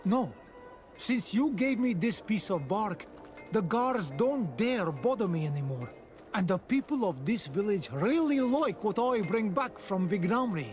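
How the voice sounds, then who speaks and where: An actress playing a grateful islander village trader in a fantasy game, warm and ceremonious. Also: female voice, medium pitch, very animated, faint music behind. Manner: ceremonious, deliberate.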